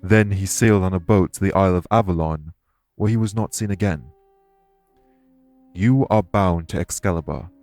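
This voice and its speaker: Audio roleplay lead: male actor as a solemn, remorseful fae man, deep-pitched, earnest and deliberate, recorded dry. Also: a little mellow, no room sound, faint music behind, natural voice. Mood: grave, explanatory. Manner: measured, formal, earnest, deliberate phrasing. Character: solemn, guilt-ridden male fae explaining magic to a patient. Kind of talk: audio roleplay acting, addressed to listener.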